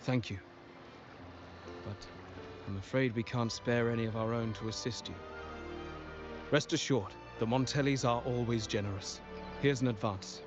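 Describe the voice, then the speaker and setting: A polite, measured gentleman of a wealthy merchant house , played by a male actor in a video game. His delivery is formal and deliberate at a middling pitch. The sound is a little dulled, with clear music underneath. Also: highs cut off, lightly acted. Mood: courteous. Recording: band-limited game capture with loud music.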